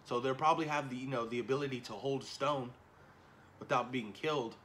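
A man in his thirties chatting casually and excitedly to camera, slangy and repeating himself for emphasis, as a reaction video host. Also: medium pitch, fairly quick. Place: small indoor room at home. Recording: noticeable background noise, home camera recording.

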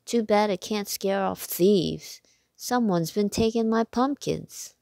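A mild, folksy farmer uncle from a storybook, read out by a woman. The voice is creaky and unhurried, with plain, modest delivery. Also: mid-range voice, darkish tone, dry recording. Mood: grumbling. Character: folksy, mild, shy farmer uncle, middle-aged man.

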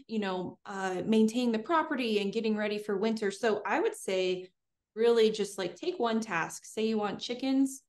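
A young woman answering interview questions in an upbeat, fluent, chatty way, recorded indoors. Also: medium pitch. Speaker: guest, homesteader and streamer. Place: indoors in front of a green screen.

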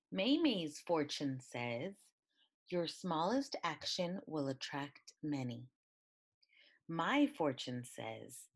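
A woman reading a picture book aloud in an expressive, deliberate way. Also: low voice, slightly rough. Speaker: storybook reader.

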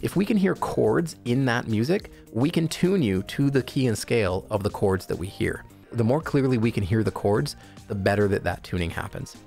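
A man narrating a software tutorial in a casual, informal, explanatory way. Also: mid-range voice, animated, normal speed, vocal fry, soft background music. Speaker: product tutorial presenter.

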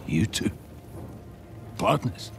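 A man's voice: very slow, soft background music. A man playing a smug, mocking middle-aged mercenary villain in a video game. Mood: taunting. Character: smug, taunting battered male mercenary villain. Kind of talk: cinematic video game acting.

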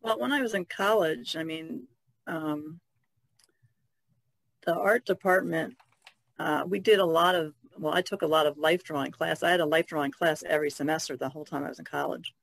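A woman artist talking casually as a podcast guest, in halting, loosely strung phrases. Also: low-pitched, vocal fry, no room sound. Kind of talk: casual conversational recollection.

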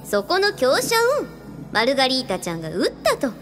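A woman's voice: high voice, wildly swooping pitch, brisk, a bit bright, heavily acted. Woman as breezy, flirtatious glamorous lady spirit. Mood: knowing. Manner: coquettish, casual, self-assured. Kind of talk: radio drama acting. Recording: music behind the voice. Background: music.

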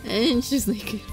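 A woman reading a visual novel aloud as a let's play, dramatic and playful, in a giggly, flustered, excitable manner. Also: on the high side. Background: music.

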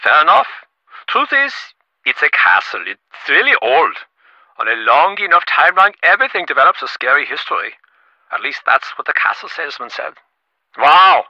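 A man's voice: fairly high pitch, sing-song, moderate pace, bright, forceful tone, dry recording, highs cut off, heavily acted. A man voicing a smug, impatient rich businessman in a video game, glib and self-important, with stammering, sarcastic delivery. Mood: breezy, dismissive. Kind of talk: acted game dialogue.

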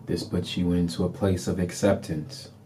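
A man leading a guided meditation in short repeated phrases, with a calm, deliberate, soothing way of instructing. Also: low voice, slight background noise.

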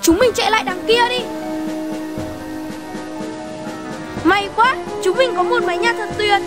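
A woman playing a small, frank, excitable animal friend in a children's cartoon, childlike and expressive. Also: very high voice, brisk, over loud music. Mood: excited.

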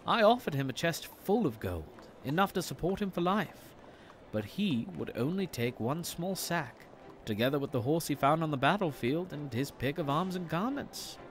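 A man plays a thoughtful, wry nobleman in a fantasy audio play. He speaks formally and courteously, with lively swings of pitch. Faint outdoor ambience sits behind him. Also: normal speed, a little hiss or hum, natural voice. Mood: wry, musing.

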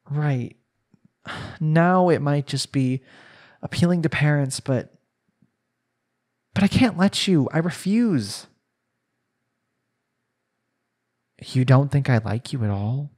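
A man's voice: mid-range voice, a little mellow. A man voicing a young newlywed husband in an arranged marriage, speaking conversationally in an intimate audio roleplay. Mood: indignant, jealous, then pleading, earnest.

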